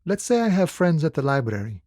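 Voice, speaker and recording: An actor playing an easygoing, philosophical union dockworker in a video game, talking in a relaxed, wry and familiar way. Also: male voice, a little high, fairly quick, no room sound, clean studio sound. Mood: casual, explaining. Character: laid-back philosophical union dockworker, easygoing, wryly friendly man. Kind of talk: video game dialogue voice acting.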